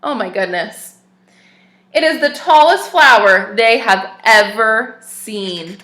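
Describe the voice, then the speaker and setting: Woman teacher doing storytime, bright clear voice, keeps asking the kids questions, echoey room. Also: a little high, slow. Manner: expressive, asks the young listeners questions. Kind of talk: reading a picture book aloud to children.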